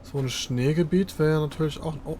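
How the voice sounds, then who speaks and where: A man's voice: a little high, brisk, mellow. Casual male let's player, faint noise. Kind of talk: casual game commentary.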